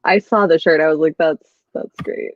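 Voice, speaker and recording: A woman's voice: low-pitched, moderate pace, dry recording, lo-fi sound. A woman hosting a casual book chat, effusive as she reacts to comments on a livestream. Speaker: book discussion livestream host.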